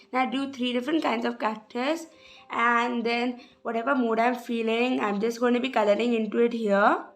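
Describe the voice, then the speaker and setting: Young female vlogger, higher pitch, a bit rough-voiced, hesitant talk over soft music. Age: young adult. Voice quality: hesitant, many "sort of" fillers. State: slightly rough voice. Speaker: journal vlogger. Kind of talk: casual craft walkthrough voice-over.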